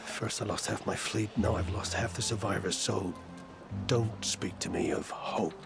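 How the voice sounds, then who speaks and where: A proud, worn-down older fleet commander in a cinematic game scene. His voice sits low with a heavy creak, and he speaks formally, with grave, wry weight. Music plays clearly behind him. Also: moderate pace. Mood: bitter, weary. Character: weary, proud older male admiral of a stranded fleet. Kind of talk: cinematic video game acting.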